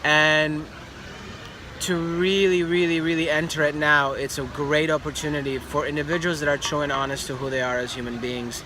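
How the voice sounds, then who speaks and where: A man's voice: a little high, flat delivery, moderate pace. A man from Albania talking casually as an interview guest, emphatic and repeating phrases for stress. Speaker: fashion designer, interview guest. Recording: noisy outdoor street with traffic.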